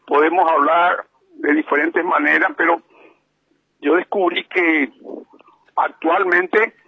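A man's voice: a little high, fairly even intonation, takes it slowly, creaky, slightly rough, no echo. A man with a Paraguayan accent, calling in to a radio show from abroad and talking over the phone in a rambling, explanatory way with many fillers. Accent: Paraguayan Spanish. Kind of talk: casual radio chat. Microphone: phone line. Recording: dull phone line.

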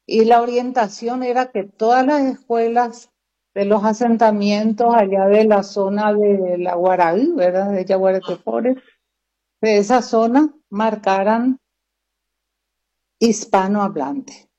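Slow, mellow woman teacher. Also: mid-range voice, steady tone. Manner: slow, measured, explanatory. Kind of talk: casual conversation. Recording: dull lo-fi sound.

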